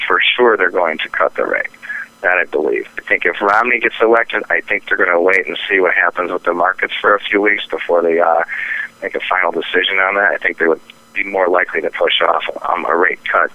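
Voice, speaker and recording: A man's voice: low-pitched, dry recording. A man giving market commentary as a phone interview guest, hesitant and repetitive but opinionated, on a dull phone line. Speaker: trading firm president, interview guest. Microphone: telephone.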